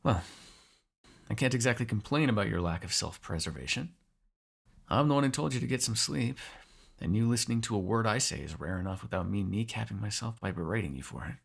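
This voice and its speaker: A man voicing a teasing, sarcastic vampire with a gravelly, husky voice in an ASMR roleplay. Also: on the low side, animated, brisk, heavily acted. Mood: quiet, musing. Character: snarky, teasing male vampire captive, predatory yet fond. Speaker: voice actor in audio roleplay.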